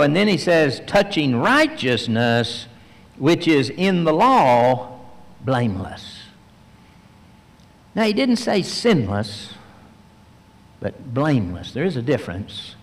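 Slow, emphatic preacher in a reverberant hall. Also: male voice, mid-range voice. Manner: slow, emphatic teaching with frequent pauses and fillers. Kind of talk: verse-by-verse sermon.

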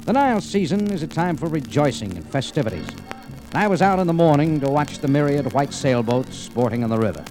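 An actor playing a hardboiled American adventurer, narrating and talking tersely and wryly in 1940s radio noir style. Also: male voice, a little high, animated, fairly quick, darkish tone, soft background music, natural voice, low-quality recording. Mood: wry narration. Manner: terse, world-weary, wry.

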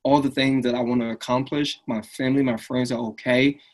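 A man's voice: mid-range voice, flat delivery, brisk. Upbeat man, earnest. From Liberia. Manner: earnest, upbeat, frequent "right?" tags. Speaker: fitness business owner, guest. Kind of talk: casual interview conversation.

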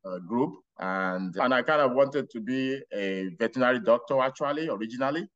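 A middle-aged man from Nigeria with a Nigerian accent, telling his life story as a podcast guest in a relaxed, rambling way. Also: mid-range voice, muffled recording. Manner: unhurried, rambling with restarts and laughs. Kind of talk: casual interview storytelling.

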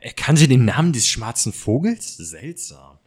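A man plays a young detective's sidekick in an amateur radio play. The voice is medium in pitch for a man. He comes across as alert and earnest. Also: sing-song, measured pace, husky. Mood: intrigued.

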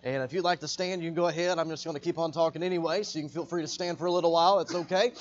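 High-voiced man preaching a sermon. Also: fairly even intonation, muffled recording. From Texas. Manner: builds to loud emphatic preaching with slow drawn-out stresses. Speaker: traveling evangelist preacher. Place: church sanctuary.

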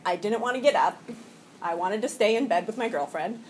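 A woman's voice: mid-range voice, animated, fairly quick, a little hiss or hum, poor audio. A woman around forty, slightly sniffly from a cold, giving a personal share at a recovery meeting in a room full of people. She stumbles through restarts and fillers and laughs often.

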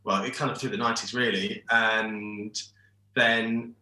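A man in his forties from England talks over a video call, rambling and restarting his sentences. His voice is bright and forceful, and it comes through with a roomy echo. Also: lowish voice, level tone, a little slow, a little hiss or hum. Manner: rambling, hesitant, many restarts. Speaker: shirt designer, guest. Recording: echoey room over internet call.

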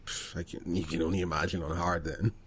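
Low-pitched man, casual gaming chat. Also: little pitch movement, brisk, slightly rough. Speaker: podcast co-host. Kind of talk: casual chat about video games.

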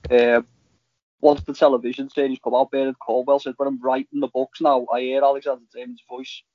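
A man talks casually as a podcast co-host, in a halting, rambling way. The voice is mid-pitched and very dry, on slightly dull audio. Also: level tone, no echo. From Liverpool, England. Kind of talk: casual chat reviewing TV shows.

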